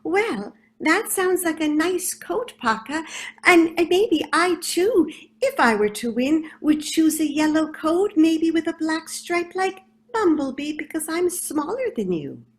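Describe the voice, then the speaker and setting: A woman voicing a small, shy female beetle who speaks softly and hesitantly. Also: very high-pitched, big pitch swings, a little slow, pitched above the performer's own voice, full character voice. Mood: timid. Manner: soft, timid, hesitant.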